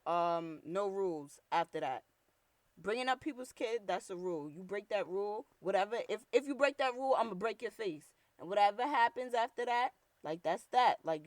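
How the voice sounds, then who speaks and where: A young woman from New York with a deep, rough voice and a New York accent, hosting her own show with blunt, slangy, heated talk. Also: dry recording. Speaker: podcast host. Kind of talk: casual solo commentary.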